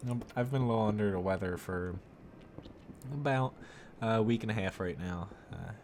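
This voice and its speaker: A man's voice: fairly low pitch, normal speed, a little hiss or hum. A young man with a cold streaming a tank game, talking casually with plenty of ums. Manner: relaxed, frequent ums and uhs. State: has a cold, voice a little off. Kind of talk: casual gameplay commentary. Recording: game sounds loud in background. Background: gunfire and tank engines from the game.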